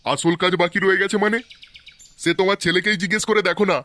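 A man voicing excitable village fathers and neighbours in a comic Bangla cartoon, chatty and theatrical. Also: high voice, fast talker. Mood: bantering.

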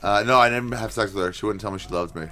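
A man's voice: fairly low pitch, quick pace, loud music behind the voice. A man co-hosting a comedy podcast in a studio, telling rambling, sheepish stories in crude banter. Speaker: podcast co-host. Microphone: desk microphone.

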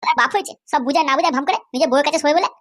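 Someone doing a squeaky, cheeky cartoon son. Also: rapid-fire, fairly bright tone. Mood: taunting. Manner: taunting, bossy. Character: cheeky, insolent, foul-mouthed grown-up son. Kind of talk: cartoon comedy dubbing.

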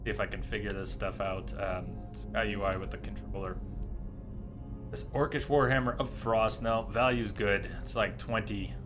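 Low-pitched man casually streaming a game. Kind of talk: live gameplay commentary, casual chat. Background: game music.

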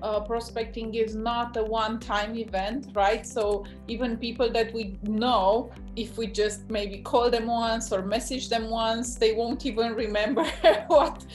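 A woman's voice: fairly high pitch, steady tone, unhurried, few pauses, a little mellow, soft background music, rough recording. A woman in her forties hosting a video call interview, asking questions in an enthusiastic, emphatic way. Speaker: program host, interviewer. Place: indoor home office with bookshelves.

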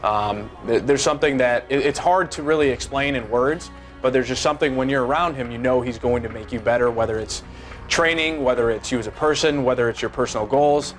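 Twenties male ex-ballplayer, loose unscripted answers full of "um" and restarts, office room with soft music bed. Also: mid-range voice. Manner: halting, many fillers and restarts. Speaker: former pro baseball player. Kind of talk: seated interview, casual recollection. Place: high-rise office by a big window.

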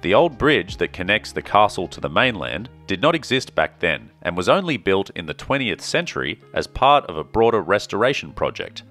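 A man narrating his travel vlog in a calm, measured, scripted way. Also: on the low side, soft background music. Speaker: travel vlogger.

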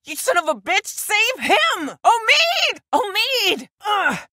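A woman's voice: extremely high pitch, big pitch swings, slow, bright, forceful tone, dry recording, heavily acted. A woman voice actor playing a guarded, blunt, protective young survivor of a zombie apocalypse in a video game, with a dry delivery. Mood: alarmed, angry.

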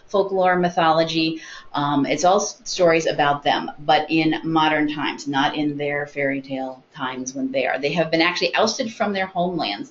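An American woman hosting an informal talk about books, chatty and explanatory, given to small digressions. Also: sits low. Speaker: webinar host, library consultant. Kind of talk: informal book talk to colleagues. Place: meeting room with colleagues around a table. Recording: dull, noisy conference room audio.

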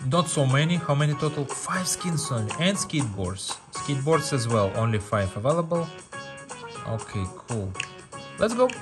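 A man's voice: mid-range voice, very animated, normal speed. A man who speaks as a gives casual mobile game commentary in short fragmented phrases, often repeating words. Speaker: gaming video host. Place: indoor room, playing on a tablet. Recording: music playing behind the voice. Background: game music.

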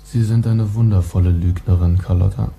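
A man's voice: deep voice, steady tone, moderate pace, gravelly, dark tone. An actor playing a detective's knowledgeable male confidant in a radio crime drama, speaking in a dry, terse, matter-of-fact way. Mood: wry.

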